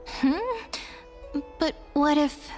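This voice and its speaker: Curious young game heroine, sweet, gentle, high pitch, slow and thoughtful delivery. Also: female voice, flat delivery, faint music behind. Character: sweet, curious, polite young female adventurer. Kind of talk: video game voice acting.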